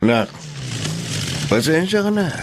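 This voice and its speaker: A man's voice: on the high side, sing-song, slow pace, background noise. An actor playing a hot-headed but remorseful working-class father in a Tagalog radio drama, middle-aged, with a Filipino accent. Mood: tender, apologetic.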